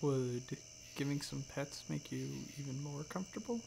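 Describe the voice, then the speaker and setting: A man playing a friendly, gentle dragon friend in a soft-spoken, affectionate ASMR roleplay. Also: a little high, animated, normal speed, creaky, noisy background. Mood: gentle, caring.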